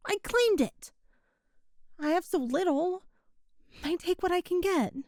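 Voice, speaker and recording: A woman's voice: extremely high pitch, animated, unhurried, a little mellow, dry recording, studio quality. A woman voicing a young cat-girl burglar who is sly but pitiful, talking in a sheepish, flustered, defensive way, for an audio roleplay. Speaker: ASMR roleplay performer.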